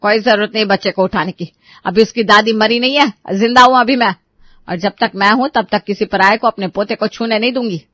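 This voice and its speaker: A woman's voice: mid-range voice, a little fast, dry recording, close to an everyday voice. A woman voicing an irritable, scolding older mother-in-law and grandmother in a dramatised story. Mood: angry, bossy. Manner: sharp, nagging, indignant.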